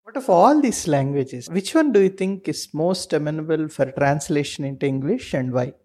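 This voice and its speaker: Mellow-voiced man asking direct questions. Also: fairly high pitch, lively intonation, moderate pace. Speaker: interviewer. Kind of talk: interview questions.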